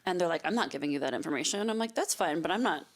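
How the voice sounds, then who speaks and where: A woman's voice: lowish voice, expressive, very fast. A woman, a real estate agent, firmly recounting her own experiences in casual podcast chat.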